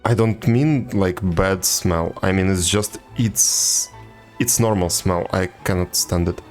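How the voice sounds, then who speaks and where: Low-voiced man chatting on a game stream. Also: slow pace. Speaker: video game livestreamer. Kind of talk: casual chat with viewers while gaming. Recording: game music behind the voice. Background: video game music.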